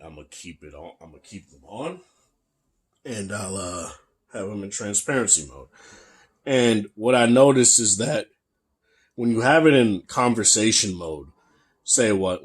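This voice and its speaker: A young man with a deep, bassy voice giving a casual, chatty tech review, full of fillers and restarts. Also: husky. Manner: laid-back, chatty, many fillers and restarts.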